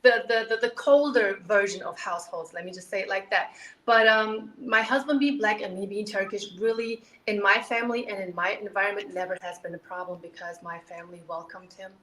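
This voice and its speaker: Young woman from Germany, interview guest, earnest and wordy, bright tone, roomy sound. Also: medium pitch, steady tone, a little fast, slight background noise. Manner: earnest, explains at length with many "you know"s. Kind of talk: candid interview talk. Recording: echoey room.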